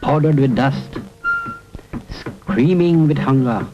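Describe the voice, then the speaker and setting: A man gives a solemn, dramatic poetry recitation at a slow pace, breaking it into short, deliberate phrases. The sound is a dull, lo-fi seventies film soundtrack, with music prominent behind him. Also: mid-range voice. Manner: measured, with deliberate pauses between short phrases.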